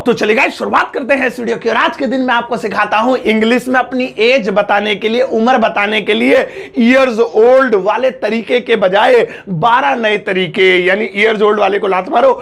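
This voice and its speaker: High-voiced, gravelly, jokey Hindi teacher. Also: male voice, normal speed, hardly any pauses, fairly bright tone. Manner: jokey, teasing, laughs often. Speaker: English teacher. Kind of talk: playful Hindi English lesson.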